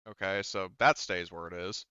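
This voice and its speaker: A man casually running a tabletop roleplaying game as game master, halting and thinking aloud as he goes. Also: sits low, fairly even intonation, bright, forceful tone, smooth, dry recording, lo-fi sound.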